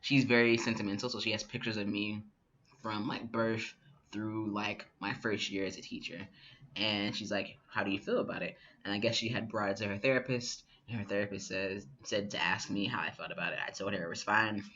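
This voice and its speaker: Lo-fi, bright-toned young man vlogging. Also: on the low side, a little fast, slight background noise. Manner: relaxed, reflective, many fillers. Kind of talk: casual personal vlog storytelling. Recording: dull lo-fi camera audio.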